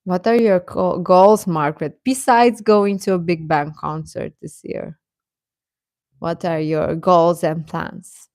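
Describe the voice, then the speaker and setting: A woman's voice: fairly low pitch, fairly even intonation, takes it slowly, darkish tone, no echo, studio quality. A woman hosting a livestream, chatting casually and advising viewers in a conversational way, often hesitating and correcting herself. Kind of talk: casual chat, giving advice to viewers.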